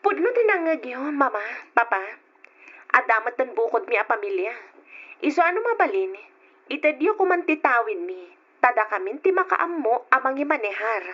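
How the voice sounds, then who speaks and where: An actress playing a grown daughter in a radio drama, pleading quickly with her parents. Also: high voice, sing-song. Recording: lo-fi old radio drama recording.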